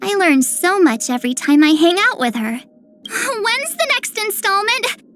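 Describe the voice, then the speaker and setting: A woman's voice: very high-pitched, sing-song, measured pace, fairly bright tone, heavily acted. A woman voicing a little girl in a video game, cheerful, guileless and childlike in how she exclaims. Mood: bubbly, admiring. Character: little cheerful, guileless girl who gushes over friends. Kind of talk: video game character voice lines.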